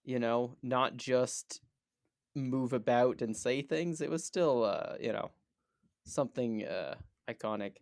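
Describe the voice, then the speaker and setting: Male podcast co-host, playful rambler, stammers and restarts a lot, mid-pitch, dry close sound. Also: takes it slowly, creaky, a little mellow, dry recording. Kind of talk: casual chat.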